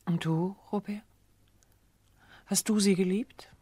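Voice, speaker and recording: An actress playing a gentle, self-effacing, dutiful woman in a radio play, speaking softly and hesitantly with a breathy voice. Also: mid-range voice, steady tone, slow pace, no echo. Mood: subdued, pained. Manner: soft, hesitant, restrained. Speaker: actress in an audio drama.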